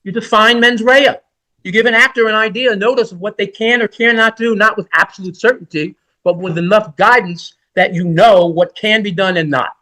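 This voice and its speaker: A man's voice: high-pitched, brisk, pushed and bright, rough recording. A man in his sixties, a defense lawyer, making an oral argument in court in an emphatic, rhetorical and repetitive way.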